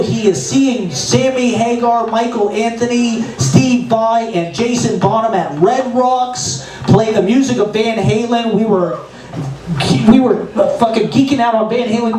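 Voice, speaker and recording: A man hosting an open mic, introducing acts with excitable, rambling banter and casual swearing. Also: extremely high pitch, normal speed. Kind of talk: emcee banter between acts. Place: open mic comedy venue. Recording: echoey room, distant mic, crowd noise.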